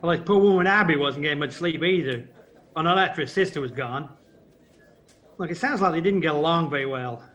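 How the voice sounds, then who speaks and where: A man's voice: fairly high pitch, fairly quick, a bit bright, highs cut off. A man acting in an audio play as an earnest, reflective embassy official with a conversational manner. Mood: earnest, concerned.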